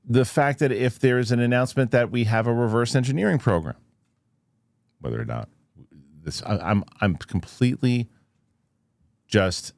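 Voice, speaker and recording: A man hosting a casual news commentary show, thinking aloud in a halting, skeptical way and posing rhetorical questions. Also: lowish voice, gravelly, darkish tone, no echo.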